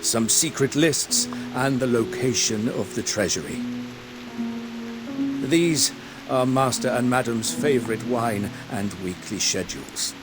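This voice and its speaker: A man's voice: medium pitch, unhurried, natural voice. A man playing a devoted manor butler in a video game, speaking formally and deferentially. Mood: solemn, tender. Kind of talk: scripted game character acting. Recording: dramatic music behind the voice.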